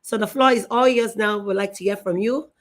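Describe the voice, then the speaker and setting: A woman's voice: mid-range voice, fairly quick. A middle-aged woman hosting a live online show, effusive and emotional, repeating herself and restarting her sentences. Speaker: show host.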